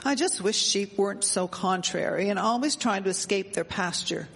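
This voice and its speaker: A woman's voice: mid-range voice, moderate pace, vocal fry, husky. A woman playing a wry, outspoken woman of the 1870s in a radio drama, with formal period phrasing. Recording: echoey hall, faint background noise.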